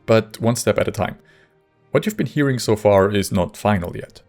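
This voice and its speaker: A man giving scripted video narration in a measured way with dry, wry humour. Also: lowish voice, expressive, a little mellow. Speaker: video creator and modder. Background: faint music.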